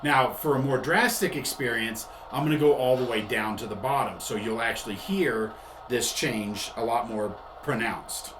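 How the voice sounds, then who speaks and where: A man's voice: medium pitch, moderate pace. A man presenting a tutorial demonstration in a conversational, explanatory way, often rephrasing his points. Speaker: host and product demonstrator. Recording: echoey room with faint background noise.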